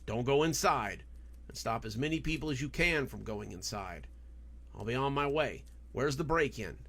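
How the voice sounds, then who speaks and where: A man's voice: mid-range voice, expressive, normal speed, a bit bright. A man voicing an irritable, brusque older male boss in an audiobook story. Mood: decisive. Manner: brusque, dismissive, swearing.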